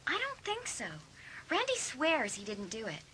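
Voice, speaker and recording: A woman's voice: high voice, wildly swooping pitch, normal speed, pushed and bright, slight background noise, exaggerated voice, poor audio. A woman playing a resentful, indignant teenage girl in a dramatised audiobook. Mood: scheming.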